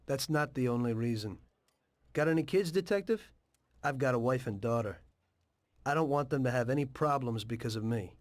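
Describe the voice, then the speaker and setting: An actor playing a weary former taxi driver turned mob informant in a video game, with an American accent and a measured, matter-of-fact delivery. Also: male voice, medium pitch, no room sound, close to an everyday voice. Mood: guarded. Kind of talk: video game dialogue and narration.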